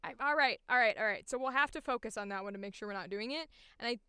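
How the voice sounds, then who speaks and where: A woman voice actor chatting casually on a livestream, thinking aloud in an informal, animated way, close to the microphone. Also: a little high, fast talker, clear, resonant tone, no echo. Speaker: voice actor recording auditions.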